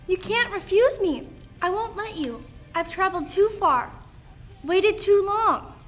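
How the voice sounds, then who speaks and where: Proud, desperate female surgeon played by a teenage girl, very high voice, declamatory radio-play acting, faint music beneath. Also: expressive, measured pace, a bit bright, exaggerated voice. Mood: desperate, demanding. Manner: declamatory, dramatic delivery. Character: proud, haughty, desperate woman surgeon seeking healing.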